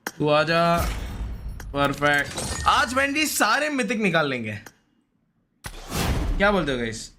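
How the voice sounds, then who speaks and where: A man's voice: fairly high pitch, very animated, slow pace, pauses often, noisy background. A man giving casual, slangy live gaming commentary, often repeating short pleading phrases. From India. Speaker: gaming streamer.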